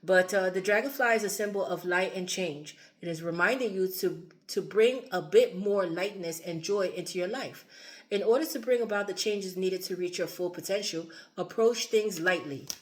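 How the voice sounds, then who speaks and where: A woman with a slightly low voice reads tarot in a loose, wandering way, circling back over the same words with plenty of fillers. Her voice sounds a little strained and worn, as if she is losing it. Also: moderate pace. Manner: loose and repetitive, fillers and asides. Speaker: tarot reader. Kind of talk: casual tarot reading.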